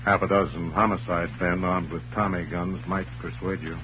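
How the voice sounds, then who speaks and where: A man's voice: low-pitched, steady tone, slight background noise, natural voice. An actor playing a cool-headed, wry newspaperman hero with composed delivery in a 1940s radio crime drama. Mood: calm challenge. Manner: composed, dry, measured. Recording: muffled, humming old radio recording.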